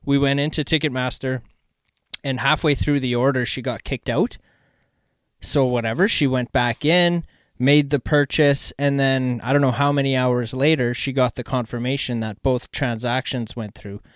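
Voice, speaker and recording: Smooth-voiced Canadian man chatting excitedly. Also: mid-range voice, moderate pace, dry recording, clean studio sound. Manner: excitable, rambling, slangy. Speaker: podcast host. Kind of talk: casual chat, storytelling.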